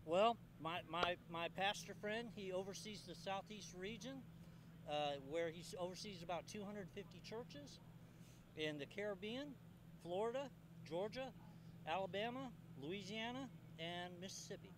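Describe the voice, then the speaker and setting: A man from California giving a casual outdoor interview, friendly and halting with restarts. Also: high-pitched, background noise. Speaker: guest interviewee. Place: outdoor park event.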